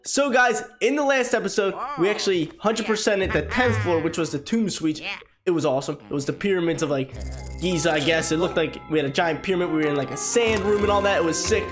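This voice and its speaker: A congested young man with a stuffy nose giving energetic, slangy let's-play commentary into a headset, with sudden squeaky exclamations. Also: fast talker. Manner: energetic, slangy, jumps to high-pitched exclamations. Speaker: gaming YouTuber. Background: game music.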